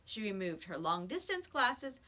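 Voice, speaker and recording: Animated, bright-voiced woman reading a story. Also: medium pitch, a little slow. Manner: animated, emphatic, dramatic storytelling. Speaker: teacher reading aloud. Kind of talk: expressive children's story read-aloud.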